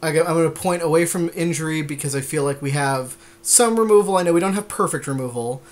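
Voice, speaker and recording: A man's voice: fairly high pitch, fast. A man co-hosting a podcast, talking casually through card game strategy in a tentative, reasoning-aloud way. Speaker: podcast co-host.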